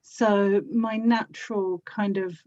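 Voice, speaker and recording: A woman guest talks in a relaxed, conversational interview style. She has a South London accent and a middling pitch. The recording is a little muffled and lo-fi. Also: takes it slowly. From South London, England. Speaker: interview guest, audio drama actor and producer.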